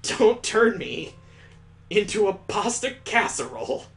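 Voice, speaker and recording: A man voicing an excitable, flustered teenage girl from a visual novel, whining and exclaiming in protest. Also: high voice, measured pace, voice pushed up, exaggerated voice. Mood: panicked. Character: excitable, flustered airheaded fifteen-year-old girl. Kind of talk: visual novel character reading.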